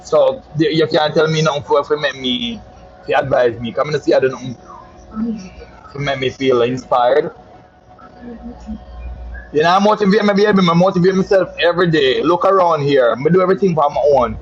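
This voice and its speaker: Jamaican man, boastful patois monologue, fairly high voice, dull lo-fi sound. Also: moderate pace, pauses often, soft background music. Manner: boastful, flamboyant patois chatter, calls listeners "my love". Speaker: social media personality. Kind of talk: casual storytelling monologue.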